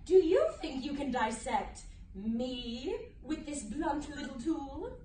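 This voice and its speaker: A roomy recording of a woman playing a high-pitched puppet snail acting as a cultured cannibal doctor. The delivery is slow, theatrical and condescending, taunting with every drawn-out phrase, and sits a little far from the mic. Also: lively intonation, warm tone. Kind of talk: amateur dramatic reading with puppets. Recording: roomy, distant mic, faint noise.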